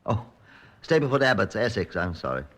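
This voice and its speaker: A composed British Scotland Yard chief inspector, speaking in a low voice. He is clipped, methodical and authoritative, in the manner of an old radio crime drama. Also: big pitch swings, fairly quick, fairly bright tone. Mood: businesslike. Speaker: lead actor and narrator in a radio crime drama.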